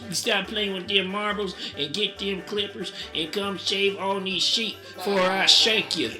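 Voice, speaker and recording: Man playing a high-voiced, scolding sheep farmer. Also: steady tone, unhurried, music in the background. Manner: folksy, scolding, slangy. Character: bossy, scolding, threatening sheep farmer elder. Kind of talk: comic radio sketch commercial.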